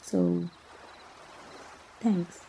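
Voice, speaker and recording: A woman with a Mexican accent playing a playful, tender young princess in a romantic ASMR roleplay, teasing gently with bashful hesitations. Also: fairly low pitch, fairly even intonation, extremely slow, gravelly, heavily acted. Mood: warm, shy. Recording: noisy outdoor nature ambience.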